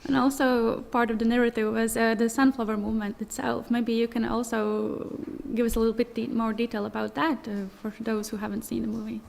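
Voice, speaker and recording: Woman with a gravelly, level voice. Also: a little high, flat delivery, fairly quick, hardly any pauses, darkish tone. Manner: hesitant, with many "uh" and "like" fillers. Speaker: festival Q&A moderator. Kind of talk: hosting an interview.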